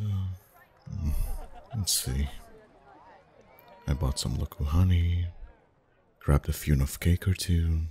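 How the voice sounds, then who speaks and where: A man acting as a friendly festival face painter with an extremely low, mellow voice. He chats intimately in ASMR roleplay style, easygoing and gently teasing, with a flirty streak. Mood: chatty, easygoing. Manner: chatty, gently teasing, soft chuckles. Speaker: voice actor in an audio roleplay. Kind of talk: ASMR roleplay, one-sided intimate conversation.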